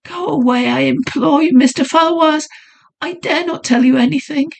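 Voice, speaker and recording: A woman's voice: high-pitched, normal speed, vocal fry, pitched above the performer's own voice. A woman voicing a haunted young noblewoman who pleads breathlessly and trembles with fear. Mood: anguished. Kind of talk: audiobook character voice.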